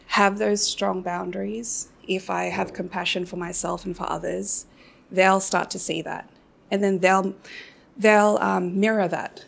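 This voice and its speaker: A woman's voice: medium pitch, gravelly. A woman, thoughtfully sharing her view in a group Q&A. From Australia. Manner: thoughtful, reflective. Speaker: workshop participant.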